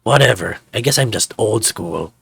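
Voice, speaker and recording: A grumpy, old-fashioned dragon father from a visual novel, played by a man. He argues stubbornly and gruffly, with animated pitch, in a dry recording. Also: moderate pace, no echo, soft background music, full character voice. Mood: grudging.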